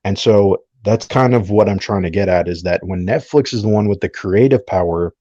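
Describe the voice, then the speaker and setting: A man's voice: lowish voice, normal speed, warm tone, dry recording, highs cut off. A man giving opinions in a casual discussion, explaining his points and checking that listeners follow. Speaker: podcast panelist.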